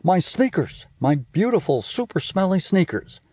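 A man's voice: high-pitched, sing-song, moderate pace, warm tone, slightly rough, no echo, voice pushed up, heavily acted. Stubborn, indignant little boy, raised boyish voice, earnest, played by a male reader.

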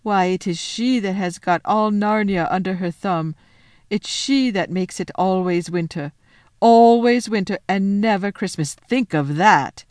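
A sorrowful male fawn from a storybook, acted out by a woman narrator, full of guilt and self-reproach. He laments in drawn-out, mournful phrases. The recording is close and dry. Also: medium pitch, darkish tone, dry recording, close to an everyday voice. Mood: ashamed, bitter.

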